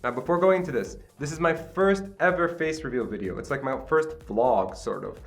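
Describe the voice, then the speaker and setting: A man's voice: medium pitch, lively intonation, moderate pace. Chatty late-20s male host, upbeat and self-deprecating, mid-range voice, casual home recording, faint music under it. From Qatar. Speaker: channel host. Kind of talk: casual vlog talk to camera. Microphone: camera with self-admitted poor sound.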